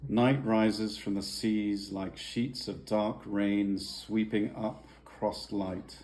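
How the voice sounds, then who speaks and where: A man's voice: fairly low pitch, little pitch movement, takes it slowly, a little mellow. A man introducing and reading poems aloud at a family gathering. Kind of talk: slow poetry reading.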